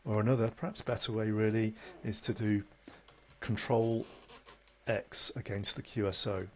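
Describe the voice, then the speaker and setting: A man presenting an unscripted, conversational software tutorial, explaining things step by step as an instructor. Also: lowish voice, steady tone, moderate pace. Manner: instructional, conversational, with tag questions. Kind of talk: unscripted screen-recording tutorial. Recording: noticeable background noise.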